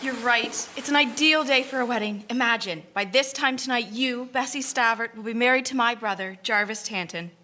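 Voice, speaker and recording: Bright, playful young maid of honor. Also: female voice, fairly high pitch, normal speed, few pauses, slight background noise. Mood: cheerful. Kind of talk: radio drama acting.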